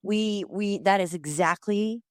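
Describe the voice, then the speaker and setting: A woman's voice: mid-range voice, unhurried, no echo, studio quality. Heartfelt woman in her fifties. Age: 50s. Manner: heartfelt, animated, spiritual. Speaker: guest, community founder. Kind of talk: conversational interview.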